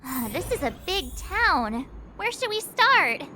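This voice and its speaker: A woman's voice: very high-pitched, slow pace, full character voice. A woman voicing a chatty, inquisitive teenage girl adventurer in a video game. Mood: curious. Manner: expressive, chatty, questioning. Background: music.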